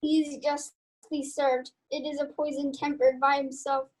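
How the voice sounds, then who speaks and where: A woman's voice: high-pitched, level tone, unhurried, darkish tone, low-quality recording. A young woman playing a proud young male duelist with a conscience in a Shakespearean radio play. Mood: dying, remorseful. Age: young adult. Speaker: student actor.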